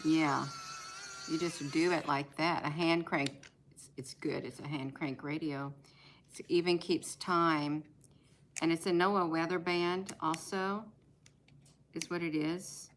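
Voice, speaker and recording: American woman, low register, casual home vlogger, unscripted and repetitive. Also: fairly even intonation, takes it slowly, pauses often, slight background noise. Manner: repeats words and phrases for emphasis. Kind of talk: casual rambling chat, showing gadgets. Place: indoors at home.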